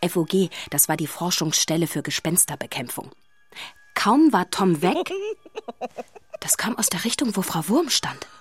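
A woman's voice: fairly low pitch, animated, moderate pace, breathy edge, no echo. A woman playing a storyteller who witnessed a haunting, narrating a children's radio play with suspense. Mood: suspenseful. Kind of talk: scripted dramatic narration for children. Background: music.

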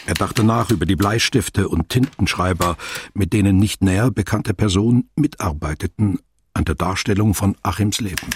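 Deep male narrator for a radio play, measured n detached, bit of background noise. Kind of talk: scripted literary narration in a radio drama.

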